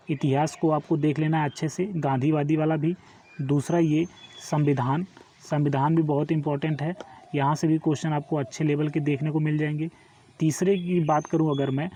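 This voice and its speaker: A man's voice: a little high, mellow. A man giving an informal online exam lesson, instructing step by step and often checking that listeners follow. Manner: instructive, repeatedly checks understanding with tag questions. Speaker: exam coaching teacher.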